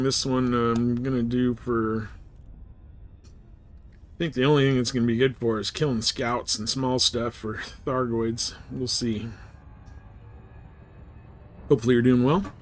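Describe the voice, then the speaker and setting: Male game streamer, mid-pitched, casual and laid-back, thinking aloud over faint noise. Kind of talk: casual livestream gameplay chat.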